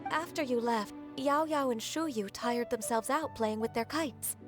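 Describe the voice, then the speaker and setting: A woman playing a sweet, polite young woman in a fantasy game, speaking gently and courteously. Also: on the high side, a little slow, over music, lightly acted. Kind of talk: fantasy game cutscene acting.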